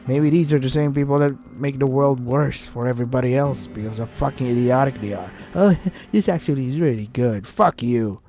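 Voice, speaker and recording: A man with a dark, mellow voice of average pitch reads a visual novel aloud as a let's play host. His commentary is irritated and sarcastic, loaded with swearing. Faint music runs behind him. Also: brisk, hardly any pauses.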